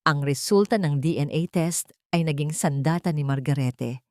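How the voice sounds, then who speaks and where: A woman with a low voice narrates a dramatic audiobook. Her phrasing is solemn and deliberate, and the sound is dry, with no room echo. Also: a little mellow. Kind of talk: scripted dramatic story narration.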